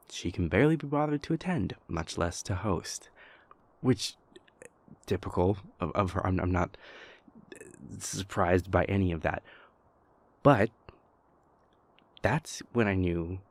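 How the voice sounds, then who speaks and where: Man acting a husky, low-voiced troubled vampire prince. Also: heavily acted. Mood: tense, frustrated. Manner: halting, hesitant, exasperated, conversational. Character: stressed young vampire prince confiding in his lover. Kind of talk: intimate audio roleplay monologue.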